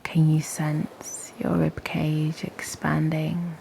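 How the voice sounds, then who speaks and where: A woman leading a guided meditation as host and teacher, speaking softly and breathily in a gentle, half-whispered voice with long, unhurried pauses. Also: sits low, monotone, gravelly, a little hiss or hum, low-quality recording. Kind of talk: guided meditation, slow and soothing.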